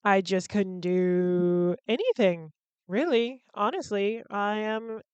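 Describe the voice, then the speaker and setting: A woman's voice: medium pitch, slow, darkish tone, clear, resonant tone, no echo, dull audio. A young woman hosting a solo podcast, chatting in a rambling, candid way with lots of "like" and some swearing. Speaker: podcast host.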